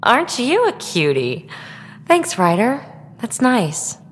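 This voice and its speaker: A woman playing a breezy, excitable alien tinkerer in a video game, playful and flippant but guarded about her feelings. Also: on the high side, long reverb tail, slight background noise, full character voice. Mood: breezy, dismissive. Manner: playful, flippant, quick to brush off. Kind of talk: video game dialogue acting.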